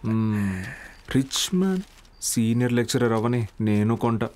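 An actor playing a good-natured young junior teacher in a film, talking casually. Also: male voice, medium pitch, a little slow, darkish tone, natural voice. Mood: teasing.

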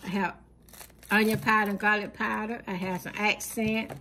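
A woman's voice: mid-range voice, level tone, pushed and bright, a little hiss or hum. A woman, hosting her channel and casually listing her groceries one by one with frequent fillers, recorded at home off camera. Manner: plain, unhurried listing with many fillers. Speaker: channel host. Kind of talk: casual grocery haul narration.

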